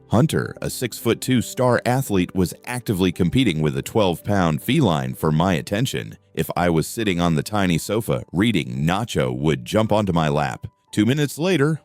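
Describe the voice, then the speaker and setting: A man narrating a first-person story in an expressive, wry storytelling manner. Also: low voice. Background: faint music.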